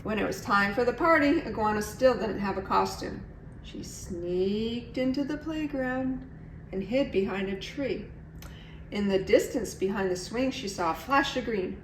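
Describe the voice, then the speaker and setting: A woman's voice: fairly high pitch, far from the mic. A middle-aged woman reading a children's story aloud expressively, as a storyteller. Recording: echoey room, faint background noise.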